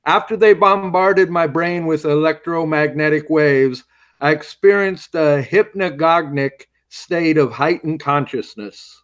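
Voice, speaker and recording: A man's voice: on the high side, measured pace, lightly acted. A man playing a wry pulp hero who tells his story in a dramatic, hardboiled way, in an audio drama. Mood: tense recollection. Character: wry male masked hero recalling his alien abduction.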